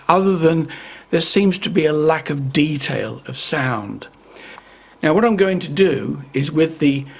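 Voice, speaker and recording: A man giving an unscripted, methodical hands-on explanation in a measured way, as the presenter of a repair video. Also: medium pitch, gravelly, slightly rough.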